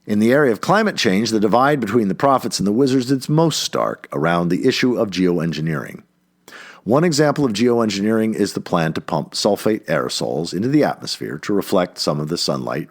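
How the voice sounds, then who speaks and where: A man narrates a nonfiction essay in a fairly low, husky voice. His pitch moves in wide, animated swings. He phrases deliberately and puts clear emphasis on key words, as an audiobook reader does.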